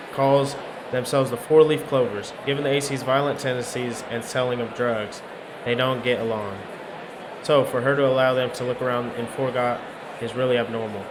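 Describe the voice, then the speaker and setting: A man narrates a horror visual novel in the first person, with a dry and wry storyteller's delivery. His pitch is average for a man. Clear background noise runs under his voice. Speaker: game player reading narration aloud. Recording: noisy urban ambience behind.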